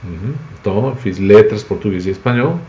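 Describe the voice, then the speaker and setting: Low-voiced Spanish man teaching in Portuguese, roomy sound. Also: fairly quick, warm tone, highs cut off. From Spain, Basque Country. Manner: explanatory, spells out words with examples. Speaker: Spanish teacher, host of a live class. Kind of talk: informal live-streamed language lesson.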